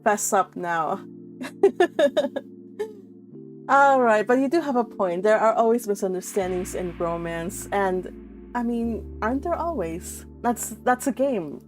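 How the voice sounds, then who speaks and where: A woman hosting a book read-along, moving between casual, chatty talk and expressive reading aloud, with informal asides. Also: fairly high pitch, slow pace, pauses often, soft background music. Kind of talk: casual livestream chat and expressive audiobook-style reading aloud.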